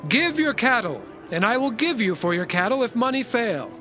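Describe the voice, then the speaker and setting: A man's voice: very high-pitched, sing-song, normal speed, music in the background, pitched above the performer's own voice. A man voicing an authoritative governor who makes declamatory pronouncements in a dramatized scripture reading. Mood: commanding. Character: authoritative male governor, commanding and generous.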